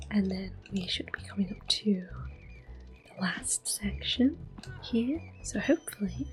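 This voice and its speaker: A woman giving gentle, soft-spoken ASMR gameplay commentary in a breathy whisper. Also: mid-range voice, rough recording. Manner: gentle, unhurried. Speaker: gameplay host. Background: soft game music.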